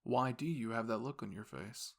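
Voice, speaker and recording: A man's voice: mid-range voice, lightly acted. A man voicing a composed, formal young university student and caring boyfriend, speaking intimately and reassuringly to the listener in an audio roleplay. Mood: quietly affectionate. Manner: measured, formal, gently reassuring phrasing.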